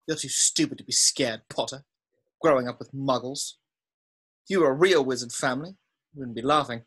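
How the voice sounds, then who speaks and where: Woman doing a snotty rich schoolboy, low husky voice, sneery and cowardly, script read. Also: fairly quick. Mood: sneering. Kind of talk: amateur screenplay table read.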